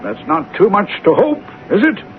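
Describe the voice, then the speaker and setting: High-voiced pompous, fussy official. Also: male voice, sing-song, moderate pace. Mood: peevish. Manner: pompous, stammering, dithering, disdainful. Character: fussy, stingy, pompous male government superior. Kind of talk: 1970s radio drama acting. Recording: tinny, noisy old radio recording.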